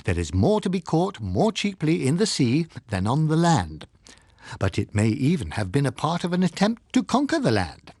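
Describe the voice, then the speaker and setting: A man's voice: a little high, wildly swooping pitch, normal speed, a little mellow, slightly rough, no echo, heavily acted. A man reading an audiobook, playing an emphatic, rhetorical male scientist giving a sombre broadcast commentary. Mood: measured, speculative. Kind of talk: dramatised audiobook character speech.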